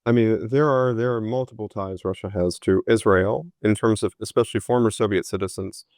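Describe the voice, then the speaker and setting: A low-pitched man in his thirties speaks over a video call. He answers questions in a halting, thoughtful way, full of fillers and restarts. The sound is dry and close. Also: fairly even intonation, darkish tone, no echo. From United States. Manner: hesitant, many fillers and restarts. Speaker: historian guest. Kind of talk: conversational interview answers.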